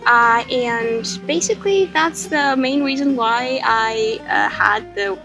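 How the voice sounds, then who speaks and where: Slow, hesitant young woman. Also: a little high, lo-fi sound, music in the background. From Greece. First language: Greek. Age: 20s. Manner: hesitant, halting, many fillers. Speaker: interview guest. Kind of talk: casual interview answers.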